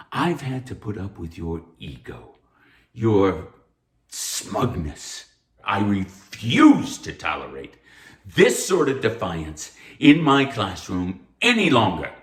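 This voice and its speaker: An actor playing a stern, pompous anatomy professor, speaking formally and like a lecturer in a theatrical read. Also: male voice, lowish voice, roomy sound. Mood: indignant. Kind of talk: theatrical table read of a stage musical.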